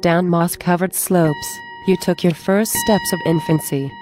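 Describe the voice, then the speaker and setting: A woman's voice: fairly low pitch, unhurried, close to an everyday voice. A woman playing an eerie, knowing, wistful figure in a gothic horror audio drama, with solemn, dreamy, literary phrasing. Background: dark horror music soundtrack.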